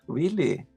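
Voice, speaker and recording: A man with a Brazilian accent hosting a casual interview, effusive and full of "you know" fillers. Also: dark tone. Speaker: host.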